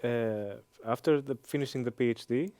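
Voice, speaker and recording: A man in his thirties with a Greek accent, answering interview questions with many hesitant pauses and fillers. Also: medium pitch, warm tone, no echo. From Greece. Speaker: interview guest, engineering professor.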